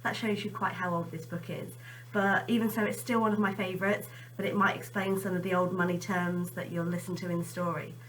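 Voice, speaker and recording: A woman's voice: on the low side, level tone, fairly quick, recorded from a distance, a little hiss or hum, low-quality recording. A woman teacher chatting informally to children and reading them a story expressively.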